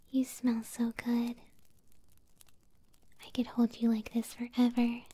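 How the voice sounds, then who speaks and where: A woman voicing a possessive, doting moth monster girlfriend in a soft, sweet, breathy ASMR whisper, close to the microphone. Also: a little high. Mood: soothing. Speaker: ASMR roleplay performer.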